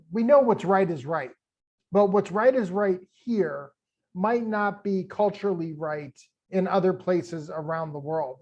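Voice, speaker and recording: A man hosting an online interview, conversational and thoughtful, with halting fillers. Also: high-pitched, a little mellow, lo-fi sound. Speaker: interview host.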